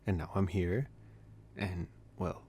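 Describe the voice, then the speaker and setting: A man playing a young college vampire, possessive yet gentle, in a casual, intimate ASMR roleplay. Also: low voice, fairly even intonation, slow pace, slight background noise. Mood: teasing, possessive. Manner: casual, soft-spoken banter with sighs and chuckles.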